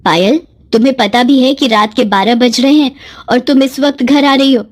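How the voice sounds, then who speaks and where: A woman's voice: high-pitched, fairly even intonation, normal speed. A woman voicing a worried mother who scolds her grown daughter fretfully in a moral story. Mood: scolding.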